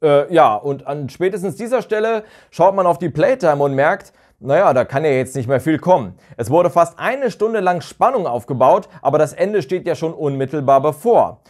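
A man in his thirties presents a review, partly scripted. His voice sits a little high and moves with animated intonation, picked up by a clip-on mic in a small room. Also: normal speed, hardly any pauses. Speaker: YouTube reviewer of audio dramas. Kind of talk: semi-scripted review narration. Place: small furnished living room or den indoors.

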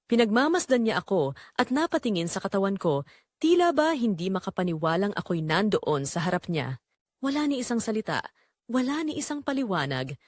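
A woman's voice: mid-range voice, no echo, clean studio sound. A woman narrating a dramatic romance story in the first person.

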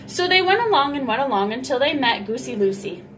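High-voiced young woman reading to children. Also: sing-song, brisk, a bit bright, slight background noise. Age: young adult. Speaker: storybook reader. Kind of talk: children's read-aloud, scripted narration. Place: small room at home.

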